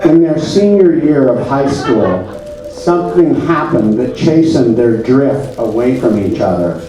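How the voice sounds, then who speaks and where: A man narrating a comedy puppet show on stage with mock solemnity, deadpan, pompous and halting, in a very echoey, distant recording. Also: mid-range voice. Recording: very echoey, distant mic, music behind.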